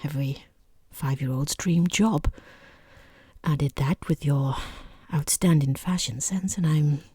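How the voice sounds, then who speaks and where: Woman acting breathy, half-whispering rude boss. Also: deep voice, unhurried, dark tone, no room sound. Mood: dryly patronizing. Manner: dry, sarcastic, hesitant politeness. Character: rude, condescending female boss interviewing a hapless job applicant. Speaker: job interviewer. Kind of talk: soft-spoken ASMR roleplay.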